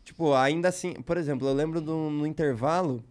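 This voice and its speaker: A man's voice: on the high side, fairly quick, a little mellow. A man with a Brazilian Portuguese accent, a guest in casual podcast chat, telling a long story loosely with slang and many fillers.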